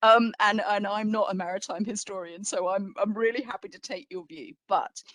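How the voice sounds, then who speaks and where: A woman's voice: medium pitch, steady tone, moderate pace, fairly bright tone, no echo. A woman speaking as an exhibition curator, answering informally and reflectively at a history talk, with conversational emphasis and frequent qualifications.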